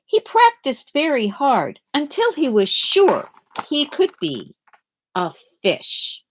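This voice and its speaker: Slow, lively woman reading to children. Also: high voice, slight background noise. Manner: deliberate, dramatic phrasing for young children. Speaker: storyteller reading a picture book aloud. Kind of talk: expressive children's read-aloud, with questions to young listeners.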